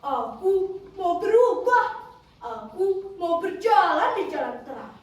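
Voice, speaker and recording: Storybook schoolboy, sincere and high, acted by a woman, captured from afar with strong room echo. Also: sing-song, slow, full character voice. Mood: determined. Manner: earnest, childlike. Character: earnest young boy, lazy but resolving to change. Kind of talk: theatrical children's storytelling. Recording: roomy, distant mic with background noise.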